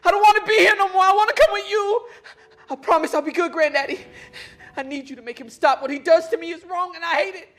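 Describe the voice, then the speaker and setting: A woman's voice: very high-pitched, fast. A woman playing a frightened six-year-old boy who pleads tearfully. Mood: pleading. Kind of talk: stage play acting.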